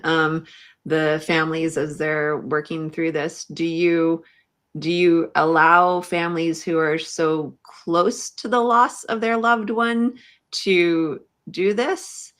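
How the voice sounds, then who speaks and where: Low-voiced woman interviewer, slow-paced. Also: fairly bright tone. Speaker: podcast host, interviewer. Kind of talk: conversational livestream interview.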